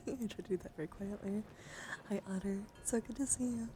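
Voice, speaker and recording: A woman live-streaming ASMR, chatting casually and playfully to viewers in a voice that drifts between soft speech and hushed whispering. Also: medium pitch, a little fast. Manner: playful, giggly, easily sidetracked. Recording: clearly audible background noise.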